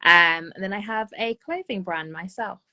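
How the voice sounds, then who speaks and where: A woman's voice: medium pitch, normal speed, pushed and bright. A woman, a fashion founder answering interview questions candidly over a video call while getting over an illness. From West Midlands, England. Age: 20s. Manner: friendly, candid, hesitant "um" fillers. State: recovering from an illness. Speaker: guest, clothing brand founder.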